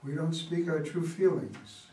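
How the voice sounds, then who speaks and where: A man's voice: a little high, animated, a little slow, warm tone. A man in a staged play reading, playing an earnest, loyal man pleading for love. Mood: tender, urgent. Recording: echoey room, distant mic, clear noise.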